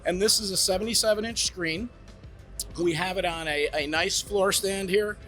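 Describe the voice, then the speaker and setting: Brief: eager salesman type, man, high bright voice, demoing gear in a show room with faint music behind. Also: normal speed. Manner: eager sales pitch, lively explaining. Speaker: brand representative demonstrating home theater gear. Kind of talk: product demo talk. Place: demo room at a trade show.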